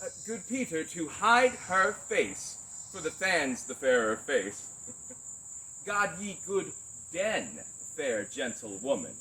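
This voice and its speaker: A man's voice: a little high, big pitch swings, slow pace, bright, forceful tone, a little hiss or hum, exaggerated voice, poor audio. A man acting a witty, mocking young nobleman in a Shakespearean stage style, theatrical and declamatory. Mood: bantering.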